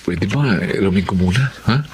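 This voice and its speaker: A man playing a soft-hearted husband and dutiful elder brother in a Filipino drama, hesitant and coaxing. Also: sits low, fairly quick, slight background noise, lightly acted.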